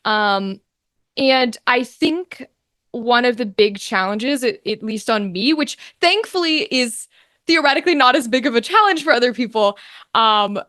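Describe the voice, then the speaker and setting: Dry, close-sounding woman, higher voice. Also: lively intonation, measured pace, a bit bright, dry recording. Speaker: guest, actor and game master. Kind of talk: casual interview conversation.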